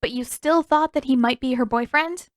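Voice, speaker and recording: A woman's voice: high-pitched, moderate pace, no room sound, clean studio sound, pitched above the performer's own voice, natural voice. A woman voicing a cheeky, nosy young girl in a story, teasing and needling in a sing-song way. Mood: nosy, challenging.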